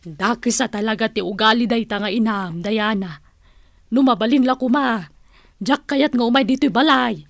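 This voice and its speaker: Radio play role: stern, threatening Ilocano auntie, high pitch, dry close sound. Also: female voice, normal speed, vocal fry, no room sound. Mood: stern, advising. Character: tough, scolding elder woman who threatens with shotgun. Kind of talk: radio drama acting.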